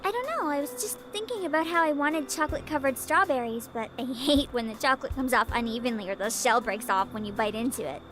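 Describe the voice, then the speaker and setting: A woman's voice: high voice, quick pace, few pauses, fairly bright tone, faint music behind. A woman voicing a cheerful, eager young woman who loves cooking in a video game. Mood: chatty, explaining. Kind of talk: scripted game dialogue.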